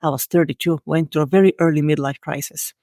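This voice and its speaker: Female guest, 40s, Bulgarian accent, gravelly texture, earnest and self-critical storyteller. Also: low voice, fairly even intonation, fast talker, dark tone, dry recording, clean studio sound. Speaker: podcast guest. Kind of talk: reflective interview answers.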